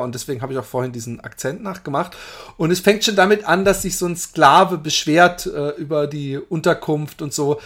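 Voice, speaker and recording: A rambling, playful man hosting. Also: on the high side. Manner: rambling, self-interrupting, playful. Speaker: podcast host. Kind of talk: casual chat about films and series.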